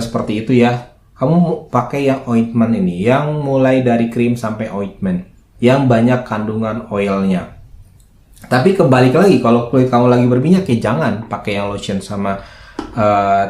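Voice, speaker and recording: A man with a slightly hoarse voice gives an informal, chatty skincare lesson, asking himself questions and then answering them. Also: mid-range voice. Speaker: skincare educator and video host. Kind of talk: informal explainer to camera. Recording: roomy, echoing indoor sound.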